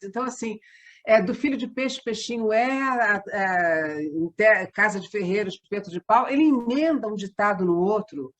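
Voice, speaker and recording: A woman's voice: mid-range voice, moderate pace. A woman with a Brazilian accent chatting casually as an interview guest, telling things emphatically. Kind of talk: casual chat.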